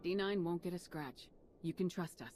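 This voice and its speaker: Capable military commander played by a woman: composed, slightly low, assured game dialogue. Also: normal speed, darkish tone, soft background music, close to an everyday voice. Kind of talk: cinematic video game acting.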